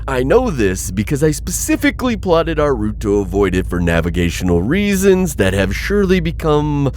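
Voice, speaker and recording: A man's voice: medium pitch, darkish tone, slight background noise. A man playing an anxious, pleading insectoid alien bounty hunter in a sci-fi audio roleplay, flustered, hesitant and formally wordy. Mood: nervous, urgent. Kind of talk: scripted audio roleplay addressed to listener.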